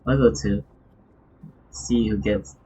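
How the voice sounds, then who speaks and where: A man's voice: fairly low pitch, steady tone, slow, warm tone, muffled recording, a little hiss or hum. A man livestreaming a video game, giving casual commentary as he plays.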